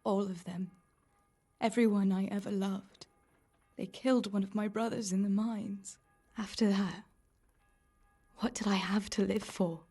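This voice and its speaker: A woman acting a caring, world-weary young miller's niece in a medieval video game drama, plain-spoken and gently chiding. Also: mid-range voice, fairly even intonation, measured pace, pauses often, husky, soft background music. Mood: grieving, resigned.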